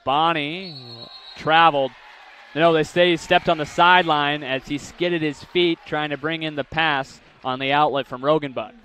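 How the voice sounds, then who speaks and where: A young man calling basketball play-by-play, with rapid calls that climb sharply on big plays. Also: fairly high pitch, fairly even intonation, pushed and bright, a little hiss or hum. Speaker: play-by-play announcer. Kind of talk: live basketball play-by-play commentary.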